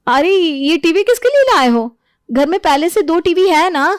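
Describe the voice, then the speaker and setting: A woman's voice: very high voice, expressive, moderate pace, dry recording, studio quality, pitched above the performer's own voice. A woman voicing an exasperated young daughter-in-law in a moral story, scolding with sarcasm. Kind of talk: animated moral-story voice acting.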